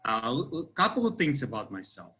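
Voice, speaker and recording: A man in his thirties with a Ukrainian accent talks as a union election candidate. His voice is medium in pitch with a bright, forceful edge. He is semi-formal and hesitant, taking a roundabout path through his sentences. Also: very animated, moderate pace. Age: 30s. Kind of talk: campaign talk, semi-formal discussion.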